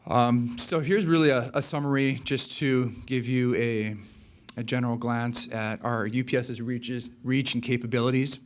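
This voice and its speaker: A man's voice: mid-range voice, fairly even intonation, normal speed. A man from Hawaii presenting slides at a business seminar, with a polished, mostly scripted delivery and occasional fillers. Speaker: account executive presenting.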